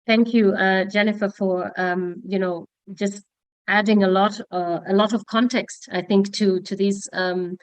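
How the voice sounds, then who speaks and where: A woman's voice: medium pitch, fairly even intonation, measured pace, no room sound. A woman moderating a panel, asking long, winding questions full of "you know" and restarts.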